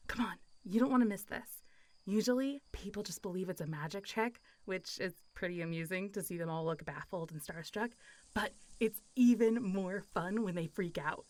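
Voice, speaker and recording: A woman's voice: mid-range voice, moderate pace, darkish tone, no echo, lightly acted. Playful, teasing zombie girl, acted by a woman. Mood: amused. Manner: casual, playful, teasing, often laughing and sighing. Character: friendly, teasing centuries-old zombie girl. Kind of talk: intimate roleplay audio drama.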